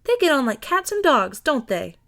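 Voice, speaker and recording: A woman voicing a sweet, dreamy, easygoing woman in an audiobook, cheerful and gently teasing. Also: high-pitched, sing-song, normal speed, vocal fry, pitched above the performer's own voice, full character voice. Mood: amused. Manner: bright-mannered, dithering, teasing.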